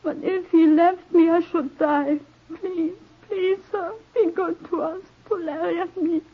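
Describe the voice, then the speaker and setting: Frightened young immigrant woman, high voice, earnest, pleading, careful phrasing, 1940s radio acting, tinny vintage recording. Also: fairly even intonation, measured pace. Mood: desperate pleading. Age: 20s. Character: frightened, devoted twenty-year-old immigrant woman, pleading.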